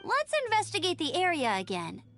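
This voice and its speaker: A young female adventurer speaks in a high, expressive voice that moves freely. She sounds measured and decisive. Soft game music lies behind her. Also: exaggerated voice. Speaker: game protagonist. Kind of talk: game voice acting.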